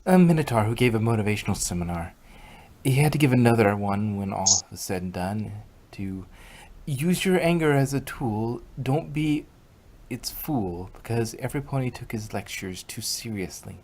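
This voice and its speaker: A man voicing a caring female unicorn pony, earnest and reassuring, a little halting. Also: lowish voice, lively intonation, a little mellow.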